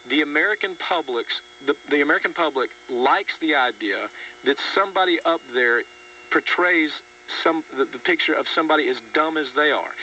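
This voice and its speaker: A man's voice: a little high, expressive, normal speed, sharp tone. A man hosting a political radio talk show, speaking emphatically and sardonically, often repeating words for stress. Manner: mocking, sardonic. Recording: dull, distant radio audio with faint hum.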